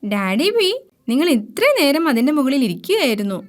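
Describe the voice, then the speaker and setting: A woman voicing a caring, practical mother in a children's cartoon, with an expressive delivery. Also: sits high, fairly quick. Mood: surprised.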